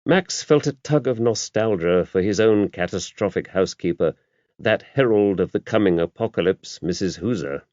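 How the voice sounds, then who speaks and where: A man's voice: on the low side, normal speed, a little mellow, dry recording. A man reading a mystery novel aloud as scripted audiobook narration. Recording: dull, muffled lo-fi audio.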